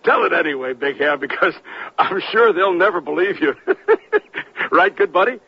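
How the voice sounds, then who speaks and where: An actor playing a genial, authoritative, slyly amused state governor, measured and official, in a 1970s radio drama. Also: male voice, high-pitched, animated, breathy edge, exaggerated voice. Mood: amused, teasing. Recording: dull 1970s radio recording.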